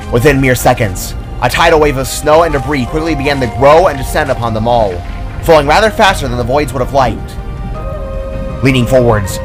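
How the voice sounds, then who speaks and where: A man reading his own scripted action story as narrator, in a dramatic storytelling style. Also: medium pitch. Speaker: author-narrator. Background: music.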